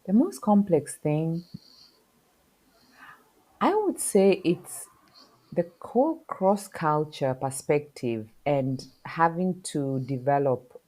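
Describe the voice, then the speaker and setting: Brief: middle-aged woman, podcast guest, unusually low pitch, thoughtful and searching, lots of fillers. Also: lively intonation, warm tone, rough recording. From Kenya. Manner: reflective, halting, many fillers. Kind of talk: casual interview talk.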